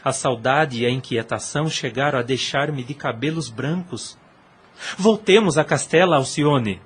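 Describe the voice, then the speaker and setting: A man's voice: mid-range voice, normal speed, breathy edge, lightly acted. A Brazilian man playing a lovesick former priest in an audio drama, pleading insistently in formal, impassioned speech. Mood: anguished, pleading.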